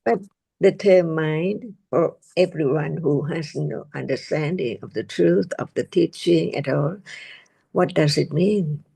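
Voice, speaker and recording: Thai-native woman teacher, slow questions, gravelly husky voice. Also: low voice, mellow. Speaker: Dhamma teacher. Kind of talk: teaching discussion.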